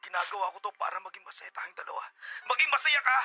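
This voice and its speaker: A man's voice: high-pitched, expressive, fast, pushed and bright, soft background music, full character voice. A man with a Filipino accent playing a defensive, pleading young boyfriend in a melodramatic Tagalog radio drama. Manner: melodramatic, emphatic.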